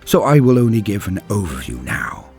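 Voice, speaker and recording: A man delivering dramatic scripted lore narration in a solemn, grave and measured storytelling manner. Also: lowish voice, big pitch swings, vocal fry, darkish tone, faint music behind. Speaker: narrator and host of a lore channel.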